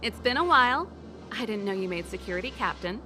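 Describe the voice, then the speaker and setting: A woman's voice: mid-range voice, expressive, brisk, sharp tone, a little hiss or hum. A woman playing an easygoing, bold rock musician in a video game, speaking in a casual, familiar way. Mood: friendly, breezy.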